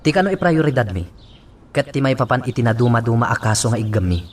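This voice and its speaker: An actor playing a brisk, determined police chief in an Ilocano radio drama. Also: male voice, mid-range voice, big pitch swings, recorded from a distance, a little hiss or hum, close to an everyday voice. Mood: frustrated.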